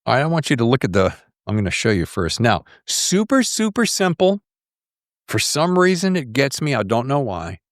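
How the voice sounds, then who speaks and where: A man's voice: mid-range voice, big pitch swings, normal speed, no echo, clean studio sound. A middle-aged American man hosting a podcast in a casual, slangy, effusive way. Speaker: podcast host. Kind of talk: casual podcast interview chat.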